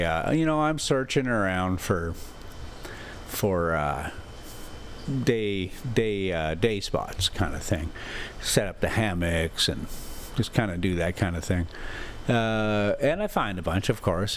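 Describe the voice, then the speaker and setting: A man hosting a casual travel vlog, rambling in a folksy, halting, thinking-out-loud way as he chats and reads aloud. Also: on the low side, a little hiss or hum. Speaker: RV travel vlogger and host.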